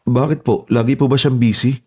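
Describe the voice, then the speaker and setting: Actor as polite, eager Filipino houseboy. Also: male voice, medium pitch, steady tone, brisk, darkish tone, slightly rough, natural voice. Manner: respectful, deferential. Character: polite, eager, deferential new male houseboy. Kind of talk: Filipino horror audio drama acting.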